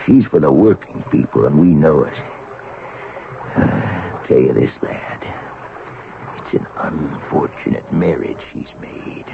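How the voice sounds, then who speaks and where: Gravelly, blustering old-radio sailor. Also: male voice, rumbling low, animated, slow pace, narrow, tinny audio, full character voice. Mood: wistful, loyal. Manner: folksy, blustering working-man talk. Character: gruff, boastful, suspicious Egyptian sailor devoted to his queen. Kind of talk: 1970s radio drama acting. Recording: band-limited, noisy old radio recording.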